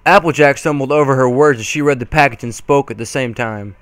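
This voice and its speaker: A man's voice: medium pitch, a little fast, a bit bright, clean tone, no echo. A man reading a story aloud as an amateur, tripping over the written words and dropping into casual asides. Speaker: amateur reader.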